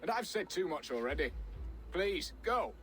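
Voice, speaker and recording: A man's voice: sits high, big pitch swings, normal speed, fairly bright tone. A man playing a guarded, nervous market merchant in a video game, evasive and wary. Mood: suspicious. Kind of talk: video game character acting.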